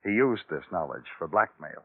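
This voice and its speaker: An actor playing a world-weary American police detective in a 1950s radio crime drama, speaking in a grim, deliberate, clipped way. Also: male voice, low voice, big pitch swings, slightly rough, full character voice. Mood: grim, accusing. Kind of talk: 1950s radio noir acting. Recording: tinny old radio broadcast recording.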